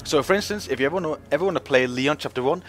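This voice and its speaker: A man's voice: rapid-fire, a bit bright, faint music behind. Chatty man narrating game footage. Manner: chatty, many fillers, pitch jumps up when joking. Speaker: gaming video host. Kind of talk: casual game commentary.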